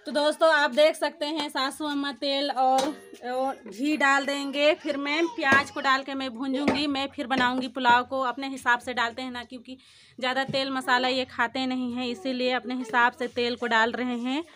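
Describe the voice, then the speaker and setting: High-pitched village woman vlogging by phone. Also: flat delivery, normal speed, background noise. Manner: chatty, addresses viewers directly. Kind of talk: casual narration to camera. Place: village courtyard and home at night. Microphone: phone held at arm's length.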